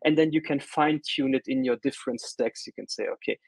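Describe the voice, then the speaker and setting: A man's voice: mid-range voice, a little fast, vocal fry, no room sound. A middle-aged man with a German accent presenting a technical webinar in a conversational way, with frequent fillers and restarts.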